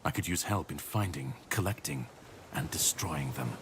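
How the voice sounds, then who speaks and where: Deep-voiced, grim warrior. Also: male voice, expressive, normal speed. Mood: grave, resolute. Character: grim world-weary warrior man, self-styled savior on a mission. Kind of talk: dramatic video game dialogue acting. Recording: clear background noise and ambience.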